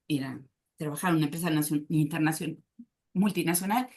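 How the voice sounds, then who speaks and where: A woman psychoanalyst speaking on a conference panel, thoughtful and often pausing to search for words. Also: deep voice, a little mellow. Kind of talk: academic conference talk.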